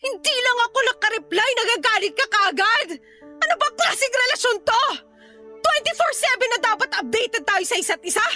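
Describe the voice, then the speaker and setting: A woman's voice: very high-pitched, lively intonation, normal speed, sharp tone, faint music behind, exaggerated voice. A woman playing a hurt, weary young working girlfriend in an emotive Filipino radio drama. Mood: hurt, exasperated.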